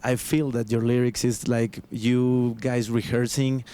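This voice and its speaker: A man with a Mexican accent, a native Spanish speaker, hosting a casual music interview in halting English phrasing. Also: mid-range voice, flat delivery, warm tone, clean tone. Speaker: show host, interviewer.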